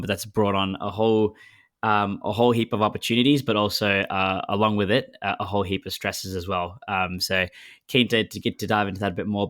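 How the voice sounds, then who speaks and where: A man's voice: lowish voice, a bit bright, no echo, studio quality. A man in his twenties with an Australian accent, chatting casually as a guest in a hesitant, laid-back, self-correcting way. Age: 20s. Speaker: podcast guest. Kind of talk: casual conversational interview.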